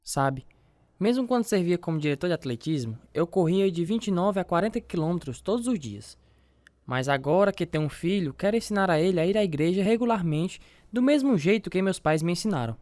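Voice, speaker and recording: A man's voice: high-pitched, expressive, brisk, a little mellow, no room sound. A male actor with a Brazilian accent playing an earnest, devout boxer and young father in a reflective radio drama, telling his own story. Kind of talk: radio drama acting with first-person narration. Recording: guitar music under the voice.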